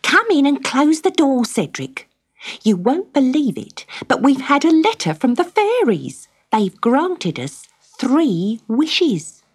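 A woman voicing Magda, an excitable, bossy wife who squeals and scolds dramatically. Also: high voice, slow pace, voice pushed up, heavily acted. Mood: excited.

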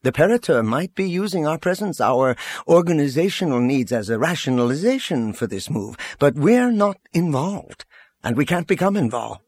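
A man's voice: a little high, big pitch swings, normal speed, darkish tone, dry recording, pitched above the performer's own voice. A man playing an evasive, self-assured diplomat in an audiobook, speaking with defensive confidence. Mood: unabashed, defensive.

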